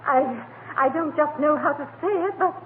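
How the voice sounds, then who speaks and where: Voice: high-pitched, normal speed, lightly acted. A performer playing a gushing, coy and snobbish society woman with a fluttery, hesitant manner in a 1940s radio comedy. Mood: coy, flustered. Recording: tinny, noisy 1940 radio recording.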